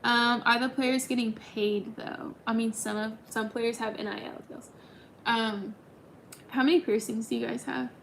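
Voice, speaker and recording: A woman's voice: a little high, steady tone, a little slow, creaky, a little hiss or hum. A woman in her twenties hosting a casual livestream, chatting and answering viewer comments with lots of ums. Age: 20s. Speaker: livestream host.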